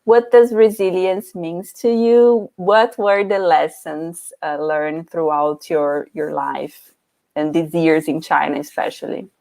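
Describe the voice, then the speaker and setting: A woman hosting an interview over a video call, asking questions in a halting way with many fillers and restarts. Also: low voice, steady tone, dark tone. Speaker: host.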